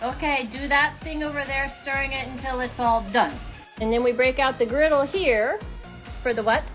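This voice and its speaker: Woman, 30s, from the American South, teasing and chatty as she films a home kitchen on her phone; high voice, music under it. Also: fairly even intonation, moderate pace. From Kentucky and Tennessee. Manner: playful, teasing, talks to viewers. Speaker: vlogger filming the cooking. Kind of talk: casual chatty home vlog. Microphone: phone held at arm's length. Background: music and frying sizzle.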